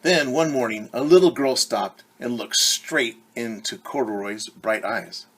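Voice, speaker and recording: Husky-voiced man reading a story to kids. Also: medium pitch, a little slow, poor audio. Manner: animated, stops to explain words and ask children questions. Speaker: teacher. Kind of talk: children's story read-aloud.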